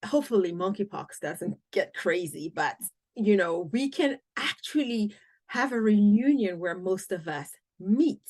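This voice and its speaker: A woman from the United States hosting a podcast, reading a guest introduction and interviewing in a deliberate, clearly spoken way. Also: mid-range voice. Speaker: podcast host.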